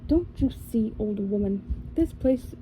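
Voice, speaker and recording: A woman's voice: a little high, animated, unhurried, mellow, slight background noise, rough recording. A woman reading the lines of an arrogant, cruel young prince in a storybook, in a voice close to her own. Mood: cold. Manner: cold, scornful.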